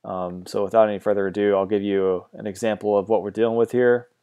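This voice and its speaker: Low-voiced man explaining software, hesitant. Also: level tone, quick pace, clear, resonant tone. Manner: hesitant, many ums and restarts. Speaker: tutorial host. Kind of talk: casual screen-recorded software tutorial. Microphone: mono home recording mic.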